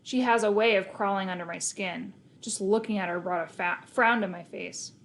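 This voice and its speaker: A woman reads a children's novel aloud in a mid-pitched voice. She tells it in the first person, leaning on key words and acting out the story as she goes. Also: steady tone, moderate pace, gravelly. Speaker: storybook reader.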